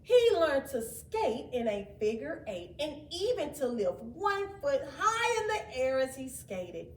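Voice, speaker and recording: A woman reading a children's story aloud in an expressive, dramatic way, with asides to her young listeners. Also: high voice, unhurried. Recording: roomy, distant mic, faint background noise.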